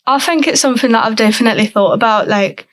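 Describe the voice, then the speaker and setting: A woman's voice: a little high, fast talker, dry recording. A teenage girl, a youth councillor answering interview questions hesitantly, with many ums. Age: teens.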